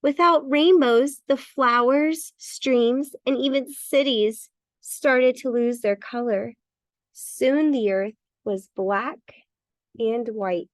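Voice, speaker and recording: A woman reading a bedtime story for children in an expressive, emphatic way. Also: fairly high pitch, takes it slowly, dry recording. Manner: expressive, emphatic with repeated words. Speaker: storybook reader.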